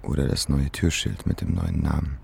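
An actor playing a pensive, earnest young man in a radio drama, speaking in an introspective, halting way. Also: extremely low pitch, steady tone, vocal fry, darkish tone, slightly rough, heavily acted. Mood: determined.

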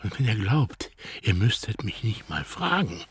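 Stern, indignant countryman, low unhurried voice with big swings, naturalistic radio-drama delivery. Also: big pitch swings, husky, heavily acted. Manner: grumbling, stern. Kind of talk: naturalistic radio play acting.

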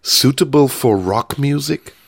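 German-accented male radio host, gravelly low voice, jovial, dry close sound. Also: no room sound. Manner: jovial, halting English. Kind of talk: bilingual interview.

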